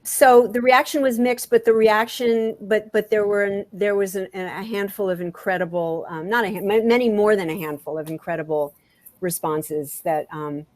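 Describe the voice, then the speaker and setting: A woman speaking as an author guest in a candid interview, halting and repeating phrases for emphasis. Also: medium pitch, darkish tone, no room sound.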